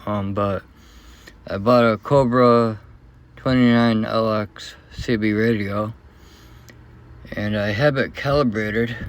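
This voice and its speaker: A man talking in a casual, unscripted vlog, troubleshooting in a hesitant and unsure, halting way. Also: fairly low pitch, a little hiss or hum. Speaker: vlogger.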